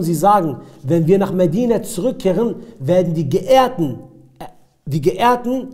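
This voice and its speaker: Higher-pitched mellow-voiced male Islamic preacher. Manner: emphatic, repeats key phrases, asks rhetorical questions. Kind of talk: religious lecture with recited Arabic verses and retold stories.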